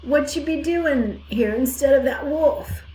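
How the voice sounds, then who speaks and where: A woman croaking as a hoarse old ewe. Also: high-pitched, unhurried, warm tone, a little hiss or hum, pitched above the performer's own voice. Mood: gruff. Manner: rustic country dialect, blunt. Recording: echoey room.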